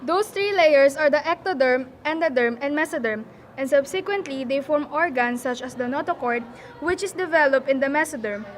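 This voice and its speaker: A woman's voice: sits high, lively intonation, moderate pace, a little hiss or hum. A woman playing a nurse who recites science facts in a scripted puppet show. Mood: reciting.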